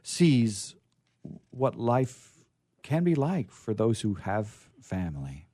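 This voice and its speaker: A man's voice: mid-range voice, very animated, takes it slowly, warm tone, no echo, studio quality. A man hosting an informal literary discussion, speaking in a hesitant, thoughtful way with repetition for emphasis. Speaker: host.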